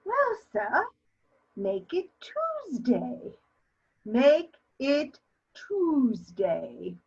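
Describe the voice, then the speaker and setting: Woman acting a haughty high-voiced old aristocratic mother. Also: big pitch swings, very slow, darkish tone, slightly rough, exaggerated voice. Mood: scolding. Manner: haughty, emphatic, declamatory. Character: imperious, scolding elderly aristocratic mother, easily offended. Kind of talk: theatrical dramatic reading of period comedy. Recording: dull lo-fi sound.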